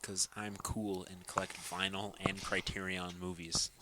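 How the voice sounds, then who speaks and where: A young man in his twenties with a low voice and a very bright, tense edge to it. He talks in easygoing, enthusiastic banter as a podcast co-host. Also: flat delivery, a little slow, a little hiss or hum. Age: 20s. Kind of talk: casual chat.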